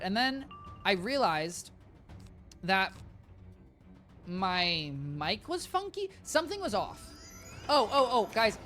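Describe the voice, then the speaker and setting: A game streamer with a high, squeaky voice chatting casually on a live stream, rambling and correcting themselves often while talking to chat. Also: a bit bright. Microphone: desk mic with compressor.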